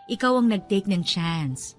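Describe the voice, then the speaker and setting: An actress as a fond, encouraging woman school head in a radio drama. Her voice swings in an animated, effusive way with a teacherly touch, over clearly audible music. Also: medium pitch, measured pace, close to an everyday voice. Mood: fond, nostalgic. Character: warm, nostalgic woman school owner, encouraging older colleague. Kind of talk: radio drama dialogue in Taglish.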